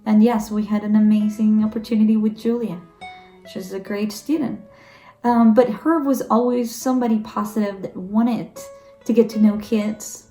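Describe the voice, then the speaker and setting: A woman's voice: mid-range voice, fairly even intonation, normal speed, dark tone. Woman giving a heartfelt tribute. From Ecuador. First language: Spanish. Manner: heartfelt, earnest, some hesitation. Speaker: Rotary youth exchange coordinator. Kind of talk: recorded tribute message. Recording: roomy echo with faint guitar.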